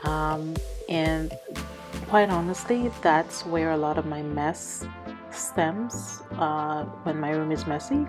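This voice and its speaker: A woman narrating a casual cleaning vlog in a conversational, explanatory way, slightly hoarse from a cold. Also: low-pitched, slow. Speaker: vlogger. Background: background music.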